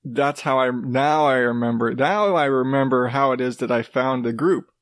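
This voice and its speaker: A man hosting his own podcast, rambling casually with restarts and the odd swear, in a rather deep voice while coming down with a cold. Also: fairly even intonation, moderate pace, dry recording, studio quality. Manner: rambling, loose, with swearing and self-interrupting restarts. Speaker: podcast host. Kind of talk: casual solo podcast talk.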